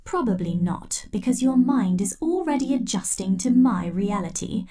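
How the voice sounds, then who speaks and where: A woman performing an ASMR roleplay as a possessive, controlling scientist who addresses the listener in a soft, slow, menacing way. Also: a little high, expressive.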